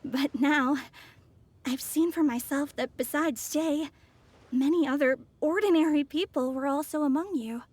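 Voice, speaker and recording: A woman voicing an earnest, composed young scholar in a video game, speaking politely and with measured sincerity. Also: high-pitched, a little mellow, no echo.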